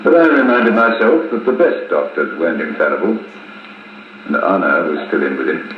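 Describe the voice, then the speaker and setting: Stoic colonel in echoing vintage radio. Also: male voice, low-pitched, lively intonation, normal speed. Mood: grave, suspenseful. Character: weary, stoic male army colonel recounting a spy case. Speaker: narrator and intelligence officer character. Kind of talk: 1950s radio drama acting. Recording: muffled, hissy 1950s wire recording, very echoey.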